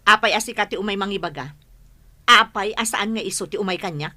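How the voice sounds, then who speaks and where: A woman's voice: medium pitch, a little fast, bright, forceful tone, lightly acted. A woman acting a haughty, scornful madam in an Ilocano radio drama. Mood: irritated. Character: haughty, sharp-tongued madam, scornful mistress of the house.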